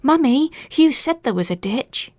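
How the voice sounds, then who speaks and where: A woman voicing an excited, wide-eyed little girl in a ghost story, eager and childlike. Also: high-pitched, a little slow, no room sound, voice pushed up.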